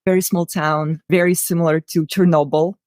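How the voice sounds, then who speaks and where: A woman's voice: fairly low pitch, little pitch movement, normal speed, a little mellow, no room sound, clean studio sound. A woman from Russia with a Russian accent, speaking conversationally and earnestly as an interview guest telling personal stories. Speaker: interview guest, writer-director.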